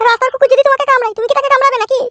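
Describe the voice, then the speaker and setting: A woman's voice: very high voice, steady tone, rapid-fire, dull audio, background noise. A woman voicing an indignant, preachy village mother-in-law in a cartoon, with a scolding, insistent way of talking. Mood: lecturing. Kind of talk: Bengali cartoon acting.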